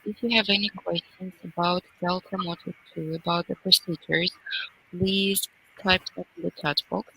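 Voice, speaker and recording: A woman moderating an online webinar over a video call, polite and formal, often pausing with fillers. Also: lowish voice, level tone, creaky, pushed and bright, husky, rough recording.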